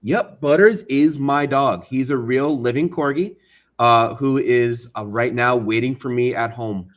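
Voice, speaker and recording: A man's voice: medium pitch, a little slow. Slightly muffled, lo-fi call audio carries a man's mid-range voice. He is an interview guest who explains casually and hesitantly, stopping mid-thought. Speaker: guest, animation and game creator. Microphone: online video call. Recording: slightly dull, lo-fi online call.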